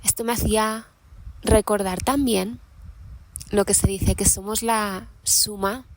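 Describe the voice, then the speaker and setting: A young woman hosting a podcast, musing aloud in an unscripted monologue while sitting outdoors in a mountain meadow, thoughtful and unhurried with many pauses and restarts. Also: medium pitch, steady tone, slight background noise, low-quality recording. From Catalonia, Spain. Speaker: podcast host.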